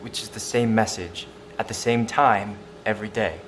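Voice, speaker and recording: Actor as skeptical survivor, male, lowish voice, probing, faint noise behind. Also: steady tone, normal speed, a bit bright, lightly acted. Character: doubtful male bunker survivor pressing hard questions. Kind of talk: audio drama acting.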